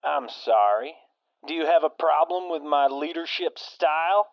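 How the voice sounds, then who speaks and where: A man's voice: fairly high pitch, measured pace, creaky, dry recording. A man playing a cocky, menacing raider boss who taunts and boasts, in dull lo-fi sound. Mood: swaggering.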